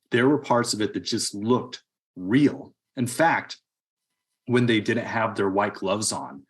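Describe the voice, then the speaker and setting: A man co-hosting a podcast, giving casual movie opinions and acting out quoted reactions as he tells stories. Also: on the low side. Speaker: podcast co-host. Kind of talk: casual movie review chat.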